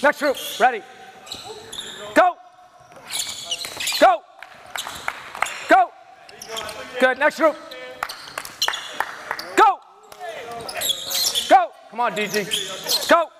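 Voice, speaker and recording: High-pitched male coach barking drill commands. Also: lively intonation, extremely slow, pauses often. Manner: loud, terse, commanding shouts. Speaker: basketball coach. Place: large indoor gymnasium, practice before onlookers. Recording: noisy gym with bouncing balls. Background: bouncing basketballs and gym noise.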